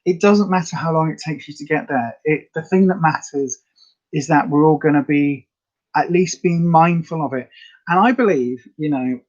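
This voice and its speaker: A woman with a slightly raspy voice, chatting casually and rambling to viewers as the host of a live health update. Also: sits low, moderate pace, darkish tone, lo-fi sound. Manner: chatty, rambling, many fillers.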